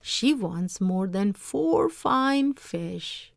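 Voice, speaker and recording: A woman's voice: mid-range voice, very animated, very slow, creaky, no room sound, clean studio sound, heavily acted. A woman voicing a humble, henpecked fisherman in a storybook, earnest and plain-spoken. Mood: reluctant, pleading. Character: humble, simple, henpecked fisherman, adult man. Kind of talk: read-aloud storybook character voice.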